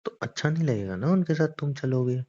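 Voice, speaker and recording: A man's voice: mid-range voice, lively intonation, fairly quick, warm tone, lightly acted. A man playing a stubborn, teasing young boyfriend in a romantic phone-call roleplay, argumentative and coaxing in casual conversation. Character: stubborn, teasing young boyfriend defending his boys' trip. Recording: dull lo-fi phone call recording.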